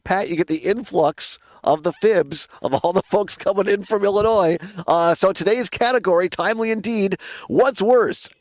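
Male radio host, slightly high voice, chatty and jokey, live talk show banter, dry close studio sound. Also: no echo.

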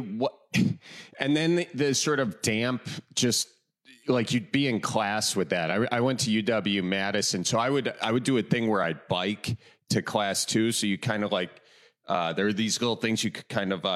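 Deep-voiced Wisconsin man hosting a chat. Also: a bit bright. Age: 30s. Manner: easygoing, rambling, with "um" and "uh" pauses. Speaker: podcast host. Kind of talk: casual podcast chat.

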